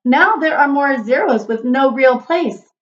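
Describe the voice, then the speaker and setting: A woman's voice: sits high, expressive, measured pace, muffled recording. A woman voicing an earnest, eager number character, a hopeful young inventor in a children's storybook.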